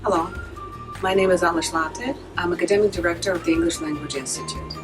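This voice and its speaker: A woman with a notably low voice speaks carefully, pausing often on fillers. A clear music bed plays behind her. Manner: careful, frequent uh pauses. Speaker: academic director of a language institute. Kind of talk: prepared statement.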